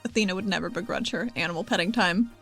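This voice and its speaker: A woman's voice: fairly low pitch, fast, creaky, a bit bright. A woman playing a tabletop role-playing game, casually improvising narration in a playful, informal way. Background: background music.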